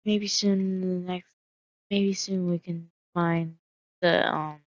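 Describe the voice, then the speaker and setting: A woman's voice: on the low side, level tone, slow pace, dry recording, highs cut off. A woman casually narrating her solo game roleplay, musing aloud with hesitant fillers.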